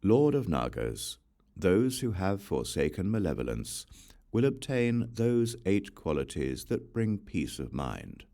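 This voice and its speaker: Male audiobook reader, very deep voice, lively intonation, solemn measured delivery. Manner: slow, solemn and deliberate. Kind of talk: measured scripture reading.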